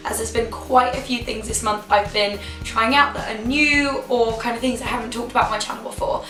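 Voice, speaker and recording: A young woman with a hoarse voice, chatting enthusiastically to camera as a beauty vlogger. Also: fairly high pitch, sharp tone. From United Kingdom. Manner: chatty, enthusiastic, repeats words for emphasis. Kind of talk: casual chat to camera. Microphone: camera mic. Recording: echoey room, camera mic from a distance, faint music.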